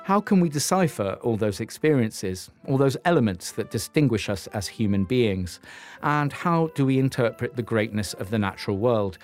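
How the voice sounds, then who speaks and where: A man hosting a podcast, reading scripted narration in a measured way, then interviewing a guest. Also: mid-range voice, expressive, a little mellow, soft background music. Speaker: podcast host.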